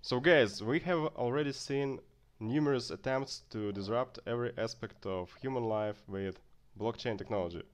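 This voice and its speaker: Smooth-voiced male interview host. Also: mid-range voice, normal speed. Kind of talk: interview questions.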